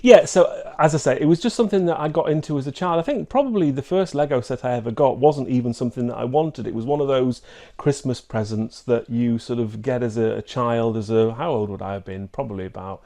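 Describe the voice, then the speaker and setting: A man in his fifties with a soft-edged, mellow voice and a North East English accent. He chats casually in an interview, looking back over his life at an ordinary male pitch. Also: fairly quick. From Newcastle upon Tyne, England. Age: 50s. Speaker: interview guest, YouTuber.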